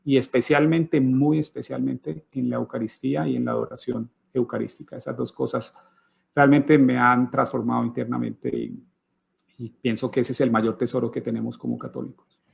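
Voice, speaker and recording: A man's voice: mid-range voice, flat delivery, moderate pace, vocal fry, husky. A middle-aged man from Colombia giving his personal conversion testimony in an interview, thoughtful, with frequent hesitations and restarts.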